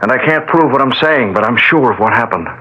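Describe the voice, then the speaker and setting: A man's voice: mid-range voice, lively intonation, quick pace, bright, forceful tone. An actor playing a grieving young lawyer who bluntly accuses his stepfather, in a 1970s radio drama. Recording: dull 1970s radio broadcast.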